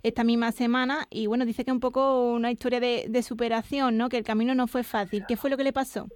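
Woman, radio host, polite formal questions, slightly high voice, dry sound. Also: little pitch movement, fast talker, a little mellow, no echo. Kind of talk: radio interview.

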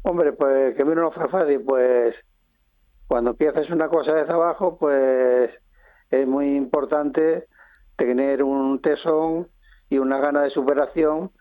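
A man's voice: medium pitch, fairly even intonation, no room sound. Older man on a tinny phone line. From Spain (Madrid). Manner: halting, with many pauses and restarts. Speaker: interview guest, businessman. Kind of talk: radio interview. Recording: dry, phone-line sound.

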